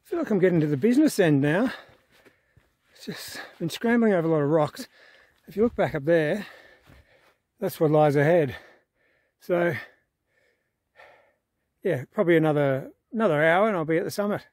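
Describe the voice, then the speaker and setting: A man in his forties speaks in a slightly high voice to a phone held at arm's length. He is out on an open mountain trail, walking and pausing to marvel at the view. His talk is casual and easy. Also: dry recording. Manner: relaxed, awed, talking to camera while walking. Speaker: hiker vlogger. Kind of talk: casual on-the-go vlog narration. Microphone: phone camera held at arm's length.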